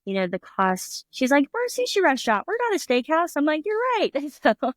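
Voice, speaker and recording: A woman's voice: high voice, very animated, fairly quick, no echo. Middle-aged woman, chatty guest who laughs and mimics others, close and dry. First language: English. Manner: chatty, laughs often, acts out quoted voices. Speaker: restaurant owner, guest. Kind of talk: casual interview conversation.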